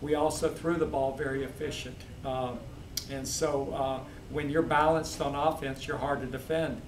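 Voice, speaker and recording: A man who is a head football coach, answering postgame questions in a steady, measured way with many fillers. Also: mid-range voice. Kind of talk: postgame press interview. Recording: echoey, distant mic, crowd noise.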